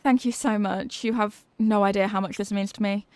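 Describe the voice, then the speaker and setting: A woman's voice: mid-range voice, steady tone, normal speed, smooth, dry recording, lightly acted. A woman playing a sweet, clumsy, centuries-old headless horsewoman girlfriend in an affectionate, sheepish, rambling way for an intimate ASMR roleplay. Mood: grateful, affectionate. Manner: soft-hearted, sheepish, affectionate, rambling explanations.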